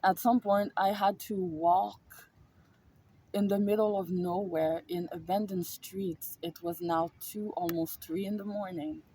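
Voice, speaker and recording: A woman's voice: mid-range voice, steady tone, measured pace, mellow, slightly rough, rough recording. A woman vlogger telling a personal story in a casual monologue, emphatic and repetitive, swearing freely. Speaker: spiritual vlogger.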